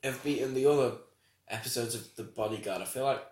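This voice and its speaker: A man's voice: medium pitch, fast talker, a little mellow. A man with a nasal voice, co-hosting a casual podcast chat, rambling and cutting himself off mid-sentence. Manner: rambling, self-interrupting, laughs often. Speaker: podcast co-host. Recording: echoey room sound.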